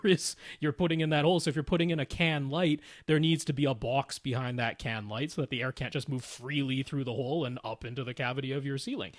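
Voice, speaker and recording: A man's voice: on the high side, no room sound, clean studio sound. A man co-hosting a podcast, casually explaining technical matters in a methodical, step-by-step way. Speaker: podcast co-host.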